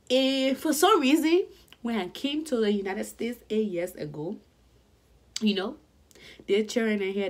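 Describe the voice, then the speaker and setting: A woman's voice: medium pitch, gravelly. Chatty young woman vlogging from her bedroom. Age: young adult. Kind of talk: casual chat to camera. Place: small bedroom, indoors.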